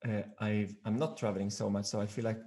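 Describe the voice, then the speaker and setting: A man hosting a conversational interview, speaking haltingly and repeating words as he searches for phrasing. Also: lowish voice, flat delivery, mellow. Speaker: podcast host.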